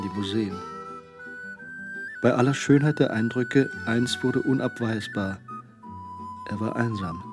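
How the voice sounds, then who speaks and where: An actor narrating a crime radio drama in a measured, brooding manner. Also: male voice, mid-range voice, natural voice.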